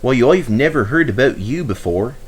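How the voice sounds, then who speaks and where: A friendly, curious storybook locomotive, played by a man. He sounds eager and excitable, lifting his pitch in animated questions. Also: normal speed, husky. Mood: puzzled. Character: male Great Western engine, friendly, curious, excitable.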